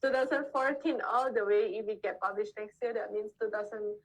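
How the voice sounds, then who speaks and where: A woman's voice: mid-range voice, fairly quick, rough recording. A woman author whose first language is Indonesian, chatting casually and laughing often as she answers questions over a video call from a co-working space. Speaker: author guest.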